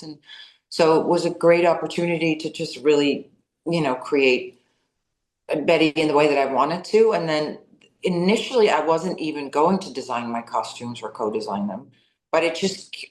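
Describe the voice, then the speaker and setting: A woman, a native Dutch speaker, answering interview questions about her acting work in a lively, chatty way with many restarts. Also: deep voice. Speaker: actress and executive producer. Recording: roomy echo.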